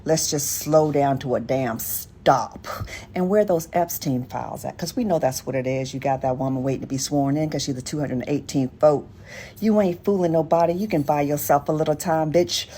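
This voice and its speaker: A woman's voice: low voice, normal speed, warm tone. An American woman on a casual political rant, blunt, sardonic and profane, in a noisy clip recording.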